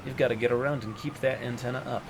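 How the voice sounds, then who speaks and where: An actor playing a slick, talkative, cocky young yakuza in a video game fan dub, over guitar music. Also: male voice, medium pitch, fairly even intonation, quick pace, gravelly, noisy background, natural voice. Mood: chummy, boastful. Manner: cocky, chatty, casual.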